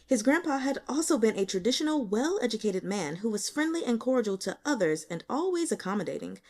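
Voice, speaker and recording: A woman reading a novel aloud as scripted audiobook narration, with expressive, dramatic storytelling. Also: fairly high pitch, a little fast. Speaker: audiobook reader.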